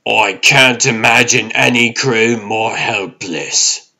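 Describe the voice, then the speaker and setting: A man's voice: medium pitch, slow, creaky, pushed and bright, voice pushed down, full character voice. A man voicing a brash, exasperated raccoon ranger in a comic dub, dry and bossy, with music underneath. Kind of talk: comic-book dub acting.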